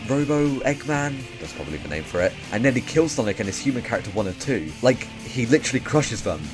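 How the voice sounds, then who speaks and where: A man's voice: mid-range voice, animated, quick pace, loud music behind the voice. A young man giving a sarcastic, scripted comedic film review as host, with some swearing. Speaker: video essay host.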